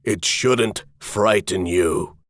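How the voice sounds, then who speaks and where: Low, unhurried, deadpan man. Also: dry recording, clean studio sound. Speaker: amateur voice actor. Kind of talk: script takes and casual joking asides.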